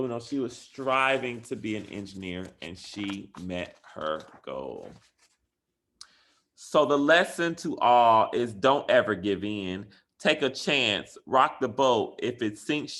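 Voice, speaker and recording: A man reading a children's picture book aloud as a bedtime story, with animated emphasis, then giving school announcements to parents. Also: medium pitch. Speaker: school administrator.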